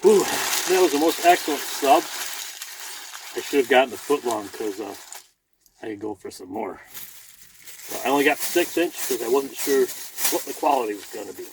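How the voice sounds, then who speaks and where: A man's voice: medium pitch, expressive, moderate pace, pauses often, background noise. A man with a folksy, rambling manner, chatting casually as a vlogger inside a truck cab. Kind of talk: casual vlog chat.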